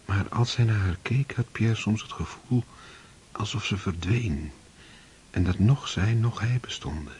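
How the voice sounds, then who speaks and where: A man narrating a radio play in a steady, measured storytelling manner. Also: lowish voice, a little hiss or hum. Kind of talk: scripted narration.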